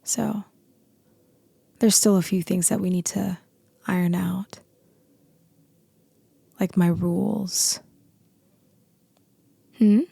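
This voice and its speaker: A woman playing a dominant, seductive boss in an intimate ASMR roleplay, with a deep, husky, breathy voice that often drops into whispers. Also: mellow, no echo, full character voice. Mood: commanding, flirtatious. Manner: slow, deliberate, often dropping into whispers.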